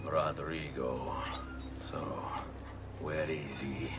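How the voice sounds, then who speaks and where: A man's voice: very deep voice, level tone, extremely slow, far from the mic, exaggerated voice. An actor playing a brooding, vengeful young Italian nobleman turned assassin, with an Italian accent, in a dramatic game cutscene. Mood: confronting, bitter. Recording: music behind.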